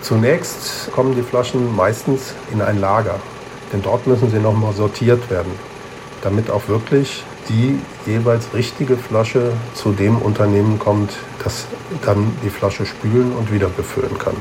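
A man's voice: on the low side, fairly even intonation, unhurried, background noise, lightly acted. A man playing a friendly, knowledgeable returnable bottle who patiently explains things in a children's radio play. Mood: patiently explaining. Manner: reassuring, explanatory.

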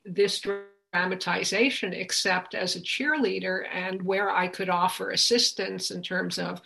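A woman's voice: medium pitch, vocal fry, sharp tone, low-quality recording. An older woman talking conversationally as a guest in an interview. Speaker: translator, guest.

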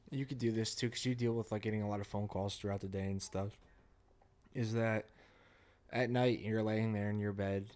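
A man with a somewhat low voice, talking sincerely about his own experience. He restarts his sentences often as he works out his thoughts. The tone is reflective, relaxed conversation. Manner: earnest, reflective, halting restarts. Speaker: podcast co-host. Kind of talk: casual chat.

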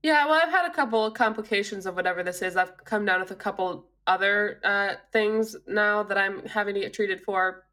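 An Australian woman co-hosting a casual chat, congested from an illness, telling stories in an animated way and laughing often. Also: medium pitch, brisk, few pauses. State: congested, with a cough. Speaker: podcast co-host.

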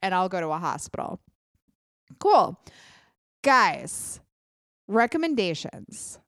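A young adult woman hosting her own podcast, chatting casually with quick self-deprecating asides, sounding a little congested. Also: on the low side, very animated, fairly bright tone, no room sound, clean studio sound. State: congested, clogged ear. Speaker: podcast host. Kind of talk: casual solo podcast chat.

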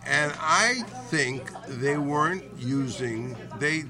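A man's voice: fairly high pitch, slow, crowd noise. A man from Boston, telling reflective stories and explaining his point at length in a casual group chat. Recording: noisy crowded room.

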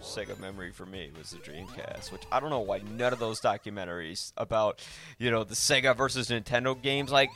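A man's voice: fairly low pitch, lively intonation, a little fast, bright, forceful tone, faint music behind. A man hosting a podcast, talking casually about films in a rambling, opinionated, informal way. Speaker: podcast host. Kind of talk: casual film discussion.

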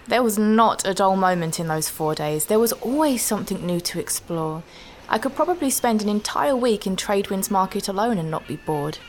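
A woman's voice: mid-range voice, lively intonation, moderate pace, natural voice. A woman playing a thoughtful young novice adventurer, natural and conversational, in a fantasy audio drama. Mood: light, friendly. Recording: noticeable ambient background noise.

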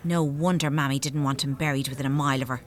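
An actress playing a practical, spiteful grown daughter in a radio play, with an Irish accent and a dry, matter-of-fact manner. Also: female voice, very deep voice, steady tone, fast. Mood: callous.

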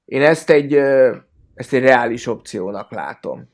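A man in a casual podcast discussion, speaking in a hesitant, halting way and often repeating words. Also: mid-range voice, animated. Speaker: football fan podcast guest.

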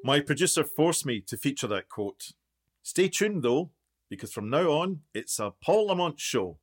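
An actor playing a self-important, easily baffled documentary maker in a mock-documentary radio play. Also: male voice, fairly high pitch, very animated, moderate pace, slight background noise. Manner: pompous, showy. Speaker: documentary presenter.

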